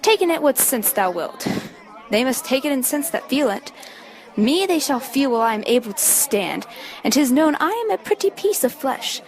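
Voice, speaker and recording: A woman's voice: high-pitched, very animated, moderate pace, rough recording. A woman playing a boastful, bawdy, quarrelsome male servant in an amateur Shakespeare reading, with swaggering banter.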